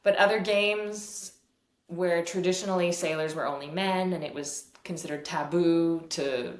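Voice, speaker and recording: A woman with a low, fairly deep voice answers questions in a relaxed, easygoing way and laughs often. She speaks over a video call, and the room around her sounds echoey. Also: a little slow. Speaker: fiddle teacher and musician. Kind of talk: casual interview answers.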